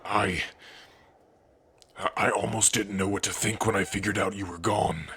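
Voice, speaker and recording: Man acting a deep, husky, tender orc husband. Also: steady tone, bright, forceful tone, heavily acted. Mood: relieved, loving. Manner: slow, tender, sighing, with pauses. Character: big strong orc husband, tender, devoted and protective. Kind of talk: intimate ASMR roleplay acting.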